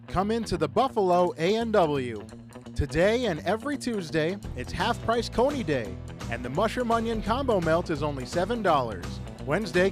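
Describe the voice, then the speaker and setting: A man reading a scripted radio advertisement as the announcer. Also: high voice. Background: music.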